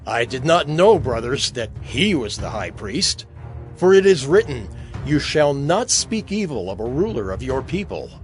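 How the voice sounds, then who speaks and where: A man's voice: mid-range voice, sing-song, measured pace, soft background music. A man playing a defiant, impassioned apostle on trial in a dramatized Bible reading, declamatory and urgent. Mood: contrite.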